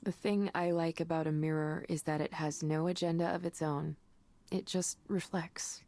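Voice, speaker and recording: A woman voicing a kind, supportive colleague in a visual novel game, speaking in a gentle, reassuring way. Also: low voice, fairly even intonation, normal speed. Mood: soft, earnest.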